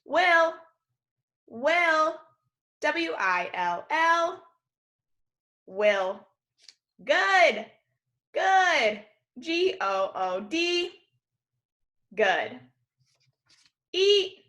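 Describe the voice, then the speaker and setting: Bright, slow-paced American woman teaching children. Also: high voice, sing-song. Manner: encouraging, exaggerated clear enunciation. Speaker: kindergarten teacher. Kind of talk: distance lesson for young children, call-and-repeat drill.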